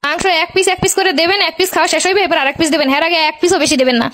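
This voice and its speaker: A high, very rapid voice of a stingy young village bride in a comic cartoon, acted by a woman. She gives blunt orders and scolds in a Barisal accent from Bangladesh. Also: a bit bright. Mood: scolding, commanding. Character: bossy, stingy young village bride guarding her wedding.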